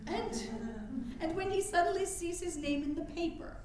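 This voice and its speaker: Woman acting astonished period suffragist friend, staged reading, high voice, theatrical, echoey room, faint noise. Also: steady tone, moderate pace. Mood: incredulous. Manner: theatrical, questioning. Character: astonished, skeptical 19th-century suffragist confidante.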